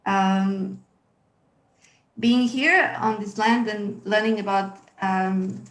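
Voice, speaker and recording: A woman's voice: medium pitch, takes it slowly. Woman in an echoey room. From Yugoslavia. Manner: hesitant, with frequent ums and uhs. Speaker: curator giving a presentation. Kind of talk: webinar presentation, partly read. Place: room at home. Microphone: video call. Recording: echoey room over a video call.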